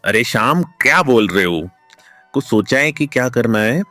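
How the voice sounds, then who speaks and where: Expressive village farmer acted by a man. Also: mid-range voice, normal speed, no echo. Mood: thoughtful, hopeful. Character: two earnest village farmer friends, adult men. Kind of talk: cartoon story dialogue. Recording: music behind the voice.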